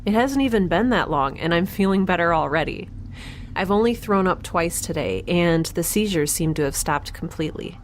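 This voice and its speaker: Over an audibly noisy ambient bed, an actress plays a young woman living in space in a sci-fi audio drama. Her voice sits on the low side. She is blunt and inquisitive, analysing things in a wry, rambling way. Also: expressive, moderate pace, natural voice. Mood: wry optimism.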